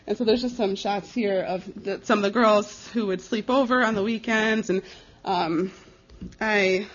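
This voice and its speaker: A young American woman speaking as a missionary, giving an informal slide presentation in a conversational, earnest and halting way. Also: medium pitch. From United States. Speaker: missionary giving a ministry report. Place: live talk before an audience. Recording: dull, slightly lo-fi recording.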